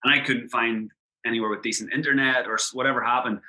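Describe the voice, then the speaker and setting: An Irish man in his thirties, a podcast guest telling travel and football stories in quick, animated casual chat with lots of "like" fillers. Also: medium pitch, poor audio. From Ireland.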